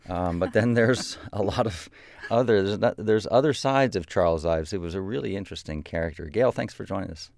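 A man's voice: deep voice, fairly quick, creaky, darkish tone. A man hosting a radio interview, asking conversational questions with some hesitations. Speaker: radio host.

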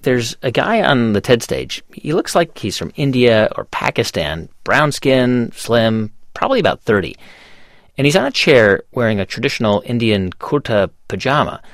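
A male radio host narrating a scene and asking interview questions in a lively, storytelling way. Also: medium pitch, moderate pace, no room sound, studio quality.

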